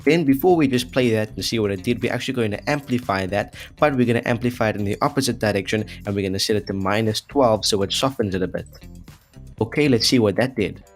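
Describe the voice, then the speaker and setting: A man's voice: lowish voice, fast, soft background music. A man hosting an audio effects tutorial in a casual, chatty, jokey way, talking informally to viewers. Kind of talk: casual scripted tutorial narration.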